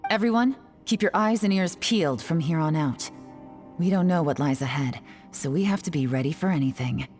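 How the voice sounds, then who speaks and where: An actress plays a composed team leader in a video game. Her voice is on the low side and authoritative, with faint music behind. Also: normal speed, darkish tone, close to an everyday voice. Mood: alert, commanding. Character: composed, commanding woman leading the team. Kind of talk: English video game voice acting.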